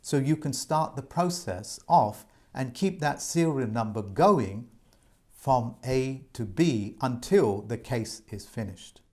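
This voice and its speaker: A man's voice: medium pitch, expressive, slow pace, a little mellow. A man giving viewers earnest, repetitive advice in an informal monologue, addressing them as folks. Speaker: presenter giving debt advice.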